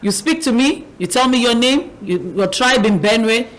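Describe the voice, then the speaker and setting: A Nigerian woman hosting a phone-in, briskly repeating her prompts and switching between Yoruba and English. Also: fairly high pitch, animated, poor audio. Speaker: radio-TV phone-in host. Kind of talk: phone-in chat.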